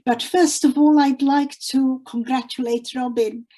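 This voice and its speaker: A woman's voice: high voice, fairly even intonation, unhurried, mellow. A woman introducing a guest poet at an online literary event, speaking off the cuff with frequent ums and restarts over a video call.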